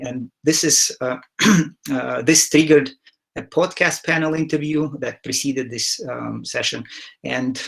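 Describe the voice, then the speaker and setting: A middle-aged man from Ukraine hosting an online session over a headset mic on a video call, speaking haltingly with many filler sounds and the odd throat clearing. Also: medium pitch. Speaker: interview host. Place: home room, indoors.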